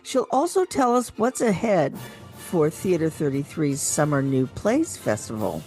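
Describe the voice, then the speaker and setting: A woman's voice: low-pitched, sing-song, a little slow, dark tone, faint music behind, rough recording. A woman co-hosting a radio show, speaking in a casual, chatty style. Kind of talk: casual radio chat with some program introductions.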